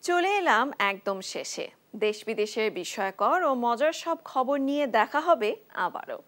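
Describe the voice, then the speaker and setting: Female Bangladeshi TV presenter, high voice, scripted delivery, light music underneath. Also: expressive, normal speed. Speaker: TV show presenter. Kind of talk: scripted show sign-off. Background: soft music.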